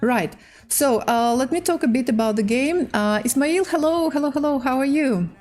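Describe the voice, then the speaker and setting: A woman with a Russian accent, a native Russian speaker, hosting a casual chess livestream in halting, hesitant English. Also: high-pitched, animated, a little mellow, faint music behind. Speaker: livestream host and chess player. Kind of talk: casual livestream chat while playing chess.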